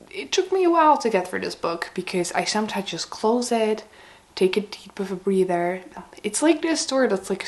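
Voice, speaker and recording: A woman with a hoarse, raspy voice from slight laryngitis, chatting casually and a little haltingly as she reviews books to camera. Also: fairly low pitch. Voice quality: raspy, breaking into breathiness. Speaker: book vlogger.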